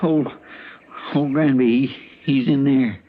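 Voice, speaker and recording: An actor playing a loyal, easily rattled deputy in a radio western, with a rural Western American drawl and a folksy, deferential manner. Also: male voice, medium pitch, fairly even intonation, slow, natural voice. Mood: shaken. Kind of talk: old time radio western acting. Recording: tinny, noisy old radio recording.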